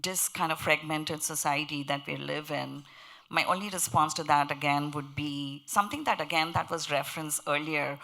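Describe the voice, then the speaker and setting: An earnest woman with a heavy Indian accent speaks on a conference panel. Her voice sits low for a woman, with a bright, forceful edge. She builds her points slowly, repeating phrases to stress them. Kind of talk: panel discussion. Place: conference panel before an audience.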